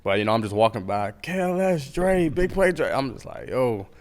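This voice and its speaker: A young man in his twenties, a football player guest, talking in a relaxed, slangy way and laughing easily. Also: mid-range voice, moderate pace. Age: 20s. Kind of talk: casual interview chat.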